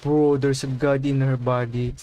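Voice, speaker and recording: A man's voice: mid-range voice, little pitch movement, moderate pace, dark tone, faint music behind. A man streaming a game, giving casual, slangy commentary on the story. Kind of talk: casual livestream commentary, reading along.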